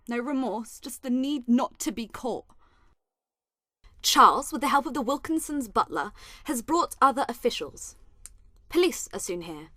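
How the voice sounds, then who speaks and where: Edwardian general's wife, British, high voice, lively swoops, genteel period diction, radio play, dry close sound. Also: female voice, dry recording. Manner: refined, wistful, period diction. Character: genteel Edwardian general's wife, narrating her own murder. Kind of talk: radio play acting, first-person narration.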